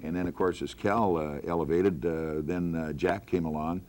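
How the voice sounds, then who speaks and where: A man's voice: low-pitched, fairly even intonation, moderate pace, darkish tone. An older American man, a veteran broadcaster, reminiscing in a group conversation. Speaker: veteran radio announcer and hockey broadcaster.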